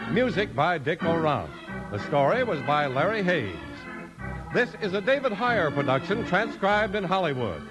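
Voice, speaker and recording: A man's voice: high-pitched, low-quality recording. An American man announcing in an emphatic, polished 1950s radio style. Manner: emphatic, polished sales delivery. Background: organ music.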